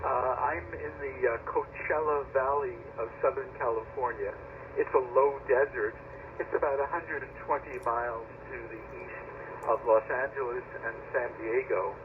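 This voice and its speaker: Over a very narrow, clearly noisy shortwave link, an American man talks as an amateur radio operator. His pitch is average, and he reports in a casual, matter-of-fact way. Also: fairly even intonation, moderate pace. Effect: radio transmission. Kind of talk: casual ham radio contact.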